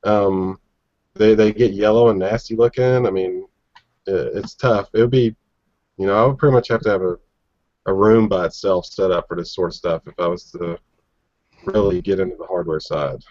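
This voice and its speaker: A man's voice: low-pitched, steady tone, moderate pace, a little mellow, no echo. A man chatting casually and informally as he leads a panel discussion on a video call, in folksy phrasing. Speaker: collector panelist leading discussion. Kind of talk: casual chat. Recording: dull lo-fi video-call audio.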